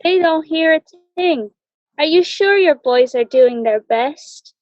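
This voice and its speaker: A woman's voice: high voice, lively intonation, slow, a little mellow, no room sound. A woman voicing a kind, earnest, encouraging elephant in a storybook, on a dull home recording. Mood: urgent. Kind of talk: storybook character reading.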